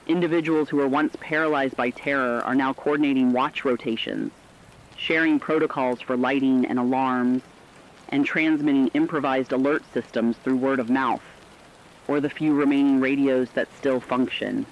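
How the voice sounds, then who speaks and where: A man's voice: medium pitch, steady tone, moderate pace, slight background noise, lightly acted. A man playing an earnest field correspondent, delivering a formal, articulate scripted emergency report for a radio drama. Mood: earnest, serious. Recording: slightly dull lo-fi radio sound.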